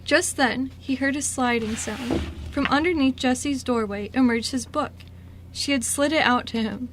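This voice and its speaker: A woman's voice: high-pitched, measured pace. A woman reading scripted story narration for an amateur radio drama. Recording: noisy amateur radio recording.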